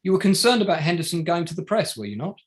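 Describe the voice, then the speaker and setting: Guy acting a sardonic inquiry lawyer, man's voice on the high side, really up and down, formal, keeps needling with questions, play read-through. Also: very animated, quick pace. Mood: formal, accusing. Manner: pointed, formal, rhetorical tag questions. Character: probing, sardonic male barrister at a public inquiry. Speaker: actor in an online table read. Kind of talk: courtroom-style cross-examination in a play reading.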